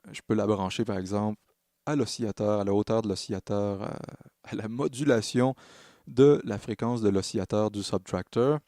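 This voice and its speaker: A man narrating a software tutorial as a music production tutor, explaining in a halting way and correcting himself as he goes. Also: fairly low pitch, fairly even intonation, no echo, clean studio sound. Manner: hesitant, halting phrasing with self-corrections.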